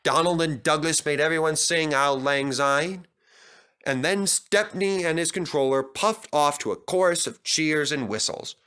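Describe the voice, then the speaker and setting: Male narrator for children's stories, higher-pitched and bright, animated delivery. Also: unhurried, smooth. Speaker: storyteller.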